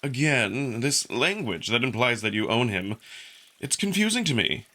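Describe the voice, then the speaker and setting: A man's voice: mid-range voice, sing-song, moderate pace, bright, forceful tone, exaggerated voice. A man voicing a suave, smugly curious male jackal alien in a visual novel, with polite, needling, unruffled delivery. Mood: mock apologetic. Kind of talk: visual novel character acting.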